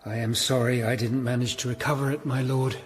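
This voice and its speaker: An actor as an earnest English Tudor detective, ambient hiss. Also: male voice, mid-range voice, level tone, a little fast, lightly acted. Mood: regretful. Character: male hunchbacked Tudor lawyer-detective, earnest and dutiful, narrating his case. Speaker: narrator and lead character. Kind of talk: radio drama acting and first-person narration.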